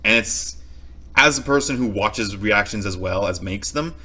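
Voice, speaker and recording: A man gives casual commentary as a reaction video host. His voice is fairly low but bright and forward, and he explains things in wordy, measured phrases. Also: breathy edge.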